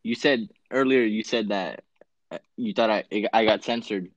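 A man's voice: fairly low pitch, normal speed, no room sound. A twenty-one-year-old man chatting casually on a call, easygoing and a bit hesitant, with lots of "like" and "um". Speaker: guest, shoe buyer. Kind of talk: casual chat. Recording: dry, dull lo-fi call audio.

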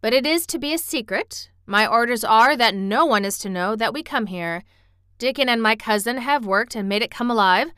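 Lordly little master read by a woman, eager and commanding, crisp storybook voice. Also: on the high side, normal speed, dry recording, studio quality, pitched above the performer's own voice. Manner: decisive, commanding, excitable. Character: imperious, eager young boy, a little master.

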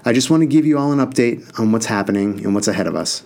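A man voicing an upbeat hospital doctor who calmly explains a patient's injuries in a reassuring way. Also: medium pitch, fast talker, creaky.

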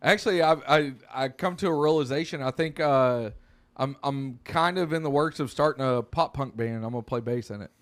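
A man's voice: medium pitch, brisk. A man in his thirties co-hosting a podcast, trading crude, teasing banter with friends and swearing freely. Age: 30s. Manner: profane, teasing, laughs often. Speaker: podcast co-host.

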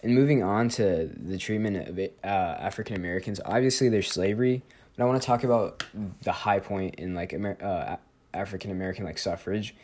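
Rambling teenage boy with a low voice. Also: fairly quick, a little mellow. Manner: rambling, with many fillers and self-corrections. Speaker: student podcast host. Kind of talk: casual spoken presentation and interview.

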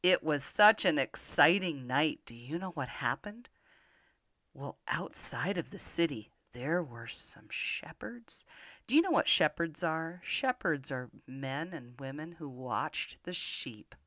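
An older woman telling Bible stories to young children in an expressive manner, often putting simple questions to the listener. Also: sits low, slow, no echo, clean studio sound. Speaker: children's podcast storyteller.

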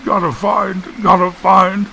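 Huge simple-minded man done by a male narrator, childlike, repetitive broken phrases, pitched up, storybook role. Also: animated, slow pace, gravelly, fairly bright tone, a little hiss or hum, full character voice. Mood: anxious. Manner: repeats words, short broken phrases.

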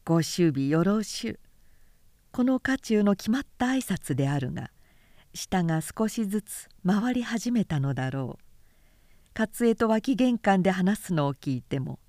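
A woman's voice: mid-range voice, lively intonation, measured pace, dark tone, dry recording, studio quality. A woman reading literary fiction aloud as a narrator, in a composed, carefully paced storytelling manner.

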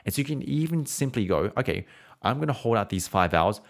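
A man teaching singing in a relaxed, conversational way, now and then humming or holding vowels to show a point. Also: mid-range voice, fast, creaky. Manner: conversational, demonstrates with hums and held vowels. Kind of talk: explanatory singing lesson, podcast.

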